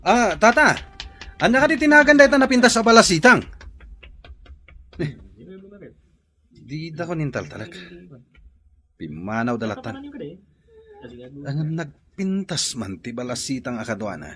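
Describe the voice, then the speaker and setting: Excitable, high-voiced smitten man. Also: unhurried, pauses often, heavily acted. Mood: smitten, teasing. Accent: Filipino. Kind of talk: radio drama acting. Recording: music under voice.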